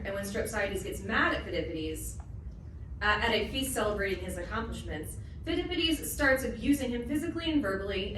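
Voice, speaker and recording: A woman's voice: mid-range voice, fairly bright tone. A woman presenting a play, reading out scripted narration to introduce it. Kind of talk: scripted narration to a live audience. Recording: very echoey room, distant mic, faint noise.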